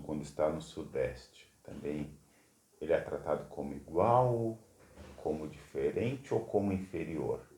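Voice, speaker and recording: A man with an extremely deep, dark voice asks long interview questions, hesitating often. He talks very slowly and draws his questions out. The room around him echoes. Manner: slow, hesitant, drawn-out questions.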